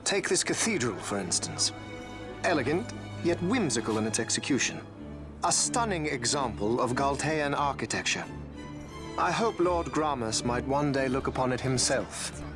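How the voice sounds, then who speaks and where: An actor playing an eloquent, calculating imperial consul, speaking in a stately, formal, theatrical manner for a video game. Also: male voice, medium pitch, very animated, music in the background, natural voice. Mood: admiring, courtly. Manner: stately, eloquent, measured oratory. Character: smooth, regal adult male imperial consul, eloquent and calculating.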